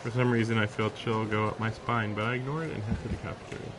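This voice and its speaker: A man's voice: lowish voice, little pitch movement, fast, background noise. A man live streaming a visual novel, reading the text aloud and dropping in casual, sarcastic asides. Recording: music behind the voice. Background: game music.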